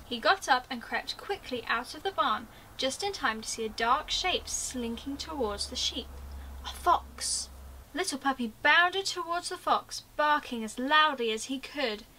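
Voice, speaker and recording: A woman's voice: high voice, lively intonation, a little slow, pushed and bright, recorded from a distance, slight background noise, low-quality recording. A British woman in her twenties reading a storybook expressively to young children, as a teacher would. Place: small attic bedroom, indoors.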